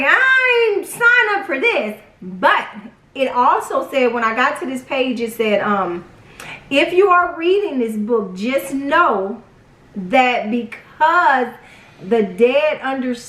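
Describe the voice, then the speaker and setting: A young woman with a high voice tells a personal story in a casual, dramatic way. She acts out quotes as she goes. The sound is roomy and distant from the mic. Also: takes it slowly. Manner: animated, dramatic retelling with acted quotes. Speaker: vlog storyteller.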